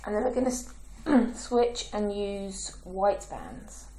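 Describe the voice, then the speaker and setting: Woman tutorial host, croaky and gravelly, slow patient delivery, faint clicks behind. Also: medium pitch, lively intonation, mellow, a little hiss or hum, poor audio. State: croaky, clearing her throat. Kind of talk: step-by-step craft tutorial.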